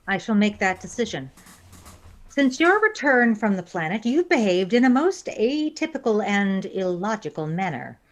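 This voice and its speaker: A woman acts out a coldly logical alien science officer in a science fiction radio play. The voice is mid-pitched and controlled. Every phrase comes out formal, precise and stern. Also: very animated, normal speed, natural voice. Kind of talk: science fiction audio drama acting.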